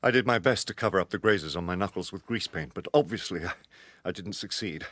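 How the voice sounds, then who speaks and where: An actor playing an anxious, protective British gentleman in a radio detective drama, speaking in a hesitant, apologetic way. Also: low-pitched, fairly quick, no room sound. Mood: confessional, embarrassed.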